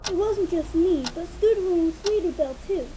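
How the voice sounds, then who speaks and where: A woman's voice: extremely high pitch, normal speed. A woman voicing a frightened young filly, hesitant and childlike, in a dramatic fan fiction reading. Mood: confessing. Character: frightened young filly confessing dark secrets. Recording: noisy home recording.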